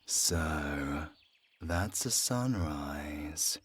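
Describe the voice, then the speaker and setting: An actor playing a dying four-century-old male vampire villain in an audio drama, weary and wistful, with a gravelly, breathy whisper and halting delivery. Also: extremely low pitch, expressive, heavily acted. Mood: resigned, wistful. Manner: halting, slow, sighing. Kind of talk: cinematic audio drama acting.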